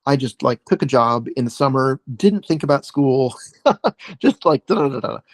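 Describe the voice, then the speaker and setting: A man's voice: mid-range voice, lively intonation, moderate pace, dry recording. An American man in his forties from Oregon, a podcast guest chatting casually over a video call, rambling with many fillers and restarts. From Oregon, USA. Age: 40s. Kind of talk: casual conversation.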